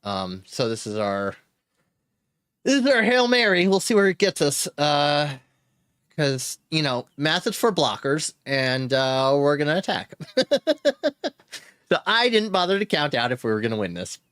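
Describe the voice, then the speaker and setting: A man's voice: a little high, sing-song, unhurried, a bit bright, clear, resonant tone, no room sound. Male streamer, casual play-by-play, dry close sound, talks himself through each turn. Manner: thinks aloud, chuckles, cheers. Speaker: streamer playing a card game. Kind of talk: casual gameplay commentary.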